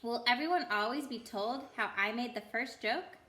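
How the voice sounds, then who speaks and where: A woman puts on a cheeky little storybook jackdaw, perky and excitable. The voice is high and bright, with room echo around it. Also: moderate pace. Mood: eager, proud.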